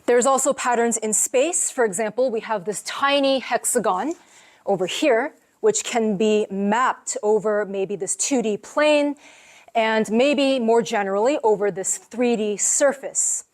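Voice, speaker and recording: A woman from the United States giving an academic seminar lecture as a professor, explaining her lab's work in a relaxed way with frequent fillers. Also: fairly high pitch, unhurried.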